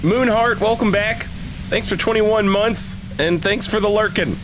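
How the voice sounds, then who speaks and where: A man's voice: sits high, unhurried, noisy background. A man streaming a game and reading its dialogue aloud.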